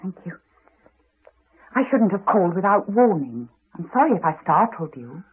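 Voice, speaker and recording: A woman's voice: lowish voice, big pitch swings, normal speed, slightly rough, heavily acted. An actress playing a composed, probing wife and mother in a radio drama, poised and direct. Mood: polite. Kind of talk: 1980s radio drama acting. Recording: narrow-band old radio recording.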